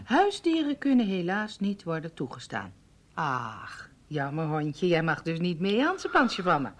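A woman's voice: lowish voice, big pitch swings, a little slow. An actress playing a dry, sceptical wife who teases her husband, in a radio drama with a Netherlands Dutch accent. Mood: wry, relaxed. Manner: wry, teasing, assertive. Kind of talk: Dutch radio play acting.

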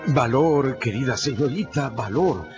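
Slow, courteous gentleman, acted by a man. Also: mid-range voice, expressive, close to an everyday voice. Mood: soothing. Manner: gentle, reassuring, formal. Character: courteous, soothing English bank gentleman escorting a young lady. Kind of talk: radio drama acting. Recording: dull radio recording under prominent music.

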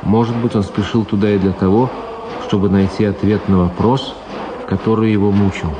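A man's voice: fairly low pitch, fairly even intonation, moderate pace, dull audio. Man narrating a radio drama over noise. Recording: clear buzzing background noise.

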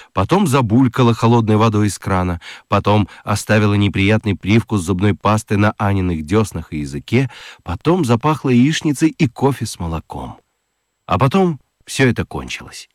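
A man narrates a children's story in a theatrical, expressive way. His voice is on the low side, with lively intonation and a dry, close sound. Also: no room sound.

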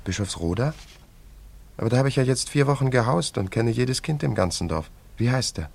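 A man's voice: medium pitch, animated, brisk, creaky, a little mellow. An actor playing a carefree, teasing young wandering man in a German radio play, in an easygoing, bantering way. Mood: curious, friendly.

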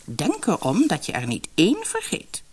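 A woman's voice: on the low side, huge pitch swings, moderate pace, a little mellow, slightly rough, exaggerated voice. A woman voicing a stern, scornful old woman who guards a castle in a fairy tale, commanding and mocking.

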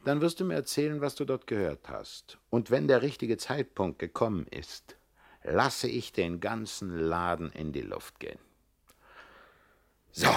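A man playing a cocky, jealous gangster with a swaggering, mock-gentlemanly menace in a 1960s radio crime comedy. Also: on the low side, gravelly, full character voice. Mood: commanding, scheming. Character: cocky jealous male gangster, charming pickpocket turned crook.

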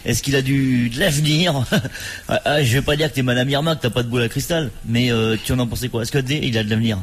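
A man hosting a live morning radio show, chatty and lively, sometimes drifting into a sing-song delivery. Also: mid-range voice, quick pace. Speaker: radio host. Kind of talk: casual live radio interview.

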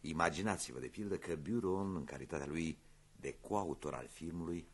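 An actor playing a shrewd police inspector in a radio play, measured, courteous and insinuating, with a gravelly, husky voice. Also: male voice, extremely low pitch. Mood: probing. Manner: measured, insinuating, theatrically polite.

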